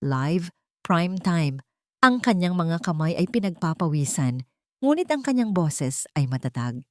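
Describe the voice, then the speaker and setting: A woman's voice: fairly low pitch, sing-song, measured pace, darkish tone, no echo. Filipino woman narrating drama, close dry sound. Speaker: story narrator.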